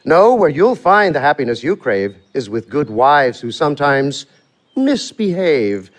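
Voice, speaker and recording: A man's voice: a little high, sing-song, a little slow. A man playing a worldly, wily, talkative manservant in a theatrical verse comedy, with a sly, flourishing delivery in rhyme. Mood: sly, knowing. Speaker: actor.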